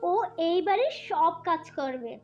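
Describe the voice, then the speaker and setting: A woman's voice: very high voice, expressive, unhurried. A woman voicing a cruel, scheming young stepmother in a fairy-tale cartoon, with a bullying, scolding manner. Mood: furious, bullying. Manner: scolding, contemptuous rhetorical questions. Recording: dull lo-fi cartoon track with music.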